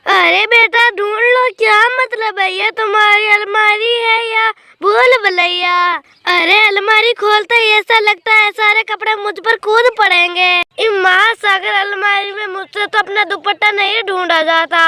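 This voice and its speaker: A woman's voice: very high-pitched, steady tone, measured pace, bright, forceful tone, full character voice. A woman voicing a middle-aged, exasperated mother bird in a cartoon story, scolding and lecturing with affectionate words. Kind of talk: Hindi cartoon story dialogue.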